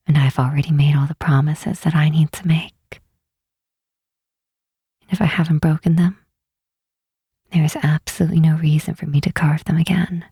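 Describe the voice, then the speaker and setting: A woman playing a gentle, wistful demon queen in a soft ASMR roleplay, speaking slowly and tenderly in a breathy, hushed voice. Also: low voice, no echo, clean studio sound, exaggerated voice. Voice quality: breathy, whispery. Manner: unhurried, hushed, tenderly reassuring.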